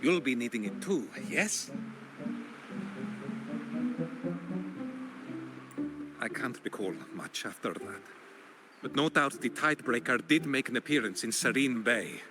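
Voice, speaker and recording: An actor playing an eccentric, dazed old fisherman in a video game, with theatrical, halting delivery full of exclamations. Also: mid-range voice, expressive, natural voice. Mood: gleeful, brisk. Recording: loud music behind the voice.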